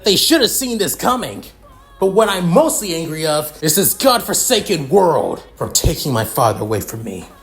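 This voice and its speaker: A man's voice: medium pitch, expressive, normal speed, faint music behind, natural voice. A man voicing a furious, grieving son of twenty in a told story, bitter, defiant and emphatic in a profane rant.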